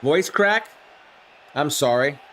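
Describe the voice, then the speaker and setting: A man with a somewhat high voice, hoarse and worn down by the flu, calls a video game football simulation play by play for his live stream. He shouts when a big play hits and drifts into easy chat with his viewers between snaps. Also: animated, takes it slowly.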